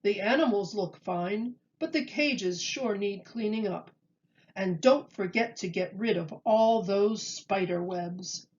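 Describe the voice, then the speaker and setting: A woman's voice: mid-range voice, slow pace, darkish tone, natural voice. Woman playing bossy zoo keeper man, dull recording. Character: bossy adult male zoo keeper, head of the crew.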